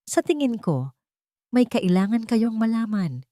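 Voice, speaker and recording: Woman voicing a nervous lady doctor, raised pitch. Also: sing-song, unhurried, dark tone, no room sound, studio quality. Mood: uneasy confessing. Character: nervous female doctor, apologetic, confessing, respectful. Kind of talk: audio story drama.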